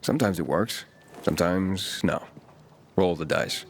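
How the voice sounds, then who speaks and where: An actor playing a guarded, world-weary young wanderer in a video game, gravelly and terse. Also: male voice, sits low, a little slow, a little hiss or hum. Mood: dry, low-key. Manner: terse, reticent. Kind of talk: naturalistic video game acting.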